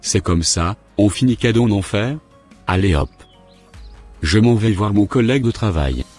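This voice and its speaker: Low-voiced devout dad played by a man. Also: lively intonation, moderate pace, darkish tone, breathy edge, soft background music. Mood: earnest, fatherly. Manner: earnest, fatherly. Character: devout, encouraging father of a young son.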